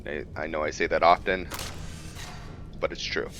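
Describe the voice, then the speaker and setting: Deep-voiced man doing casual game commentary. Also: little pitch movement, moderate pace, dull audio, noisy background. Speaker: gaming video host. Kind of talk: casual let's-play commentary.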